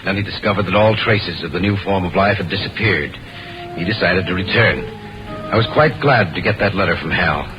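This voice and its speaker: An actor playing a grave scientist who tells an eerie tale in a solemn, deliberate 1950s radio drama style. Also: male voice, low-pitched, close to an everyday voice. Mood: measured, reflective. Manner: solemn, deliberate, ominous storytelling. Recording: muffled old radio recording, music underneath.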